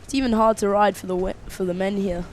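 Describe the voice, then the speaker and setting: A man from Spain co-commentating a live sports event, with dry, deadpan humor. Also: high-pitched, fast talker.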